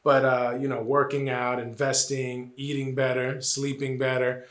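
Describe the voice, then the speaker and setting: A man's voice: mid-range voice, flat delivery, unhurried, fairly bright tone, echoey room. A man in his forties from New Jersey, answering interview questions earnestly, with many "uh"s and repeated phrases. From New Jersey, Puerto Rican family. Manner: earnest, lots of "uh" and "you know", repeats phrases. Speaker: interviewee, college administrator.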